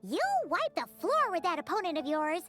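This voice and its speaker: Chirpy little fairy sidekick, very high, bouncy. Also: female voice, big pitch swings, moderate pace, a bit bright, soft background music, heavily acted. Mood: boastful. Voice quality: chirpy, confident. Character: tiny floating female fairy companion, chatty. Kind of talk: game voice acting.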